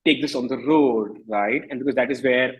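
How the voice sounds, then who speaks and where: A man's voice: mid-range voice, normal speed, breathy edge, rough recording. A man in his thirties with an Indian accent, a musician speaking casually and emphatically in an interview, repeating phrases for stress. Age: 30s.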